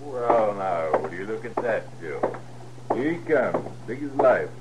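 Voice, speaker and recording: An American actor playing a sly, mocking outlaw leader with a rustic drawl in a 1950s radio Western. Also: male voice, lowish voice, sing-song, a little slow. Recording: noisy 1950s radio recording.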